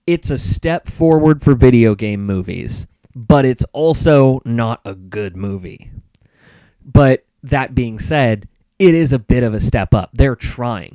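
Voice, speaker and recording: A man's voice: mid-range voice, warm tone, dry recording, rough recording. Opinionated American man, dry close recording. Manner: opinionated, explanatory. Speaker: guest film reviewer. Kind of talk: casual podcast discussion.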